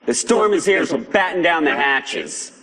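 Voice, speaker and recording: An actor playing a defiant, paranoid underground broadcaster in a video game, ranting sarcastically and indignantly in colloquial American English with an American accent. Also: male voice, a little high, sing-song, unhurried, a bit bright. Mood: grave warning. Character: defiant, paranoid pirate radio agitator, adult man. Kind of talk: impassioned pirate broadcast rant.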